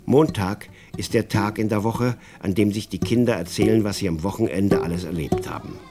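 A man playing the narrator of a children's radio play, telling the story in a measured, wry way. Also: lowish voice, over loud music, lightly acted.

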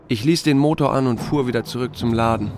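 A man's voice: mid-range voice, fast, background noise. A man narrating an audiobook in the first person and acting out its dialogue in a dry, laconic, understated way. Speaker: audiobook narrator voicing a small-town sheriff's first-person story. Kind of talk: scripted narration with acted dialogue.

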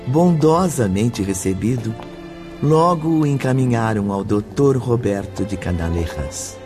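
A man narrating a radio drama in a measured, scripted way. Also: mid-range voice, lively intonation. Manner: slow, measured. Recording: radio drama with faint music.

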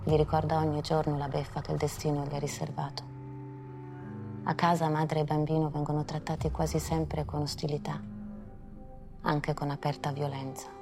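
A woman narrating a historical documentary in a measured, solemn manner. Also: low-pitched, little pitch movement. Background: music.

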